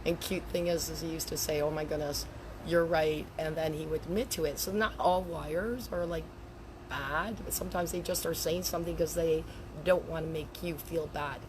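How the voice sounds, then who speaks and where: A woman in her fifties talks in a low, raspy voice, worn down because she is losing it. She rambles in a chatty way through a live video outdoors by a lake. Outdoor ambience and the hum of passing vehicles sit clearly behind her. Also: steady tone, moderate pace. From Canada. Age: 50s. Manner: chatty and rambling, laughs between points. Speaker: handwriting analyst. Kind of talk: casual live video chat.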